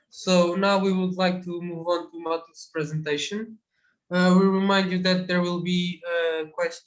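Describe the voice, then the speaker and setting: Guy moderating a webinar, high voice but pretty flat, polite, lots of uhs. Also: rough recording. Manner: polite, hesitant with fillers. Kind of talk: moderating an online event.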